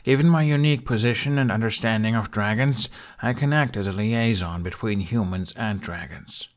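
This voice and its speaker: A man's voice: fairly low pitch, moderate pace, close to an everyday voice. A man voicing a sarcastic, stubborn young human who travels with a dragon, in a wry, defensive manner for an audiobook. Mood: earnest, formal.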